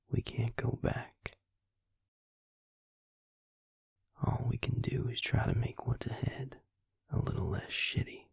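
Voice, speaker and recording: A man playing a brooding, protective vampire lover in an intimate audio roleplay, whispering slowly and haltingly with a breathy, gravelly voice. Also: medium pitch, a little mellow, no echo, full character voice. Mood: rueful, encouraging. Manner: slow, halting delivery with pauses.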